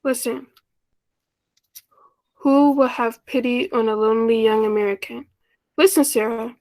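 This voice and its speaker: Woman acting a shy, lonely, high-voiced spinster sister. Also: steady tone, unhurried, a little mellow, dry recording, low-quality recording. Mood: eager. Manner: wistful, hesitant, earnest. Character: shy, lonely, self-effacing unmarried older sister from Ohio. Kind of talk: 1940s radio play acting, first-person narration.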